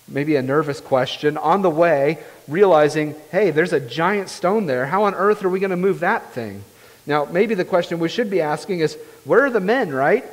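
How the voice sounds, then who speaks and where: A young male pastor preaching from a pulpit in a church sanctuary, his fairly high voice rising to stress key points. He speaks earnestly and often checks in with his listeners. The sound is picked up from a distance, with the long reverb of the hall trailing behind him. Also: darkish tone. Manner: earnest, rising emphatically on key phrases, often asks "right?". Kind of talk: sermon. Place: church sanctuary, large room. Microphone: far camera, speaking at a pulpit.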